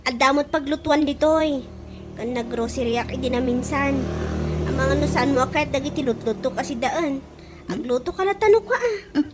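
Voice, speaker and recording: A woman acts a chatty Ilocano friend who works in the city, breezy and a little brash. The voice is high, delivered as radio comedy drama, with clear noise on the recording. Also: moderate pace, close to an everyday voice. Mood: generous.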